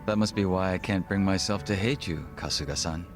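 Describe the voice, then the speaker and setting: Man acting deep, suave, guarded gangster lieutenant. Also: over music, lightly acted. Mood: grave, reflective. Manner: formal, polite, measured, wry. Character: suave, guarded male second-in-command of a Korean gang. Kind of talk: English video game voice acting.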